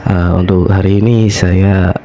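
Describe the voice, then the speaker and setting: A man with a Javanese accent hosting and telling horror stories radio-style, his voice slightly hoarse from a cold. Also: low-pitched, level tone, unhurried, dull audio, slight background noise. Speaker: host of a horror story show.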